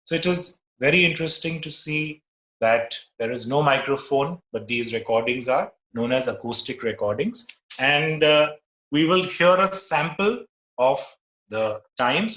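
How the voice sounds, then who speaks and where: A man with an Indian English accent giving an online lecture as a musicologist, explaining in a measured way with frequent pauses. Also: medium pitch, expressive, a bit bright, reverberant, low-quality recording. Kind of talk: illustrated slide lecture.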